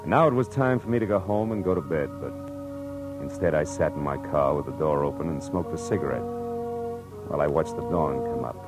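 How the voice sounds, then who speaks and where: A man's voice: very deep voice, fairly quick. An American man playing a wry, world-weary private eye in a 1940s radio drama, with laconic delivery. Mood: brooding, weary. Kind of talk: 1940s radio drama acting with first-person narration. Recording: vintage 1940s radio broadcast, music underneath.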